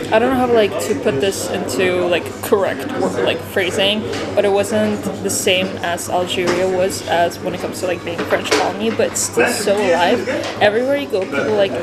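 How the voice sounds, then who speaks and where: A young woman from a post-Soviet country, a native Russian speaker, talking in a chatty and earnest way, full of "like" fillers, as she vlogs her travels. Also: medium pitch. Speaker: travel vlogger. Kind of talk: casual vlog monologue. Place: outdoors and in shops and cafes on a trip. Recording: loud background music.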